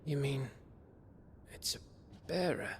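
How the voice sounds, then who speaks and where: An anxious fantasy game sidekick. His voice is on the high side, blunt and colloquial, over clearly audible noise. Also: fairly even intonation, slow. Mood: uneasy, puzzled. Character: plain-spoken, anxious rough northern scout, male sidekick. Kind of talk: cinematic fantasy game acting.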